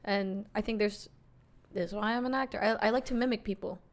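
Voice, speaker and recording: A woman's voice: medium pitch, steady tone, brisk. Giggly chatty woman from the Philippines. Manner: chatty and giggly, searches for words. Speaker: podcast co-host. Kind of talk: casual chat.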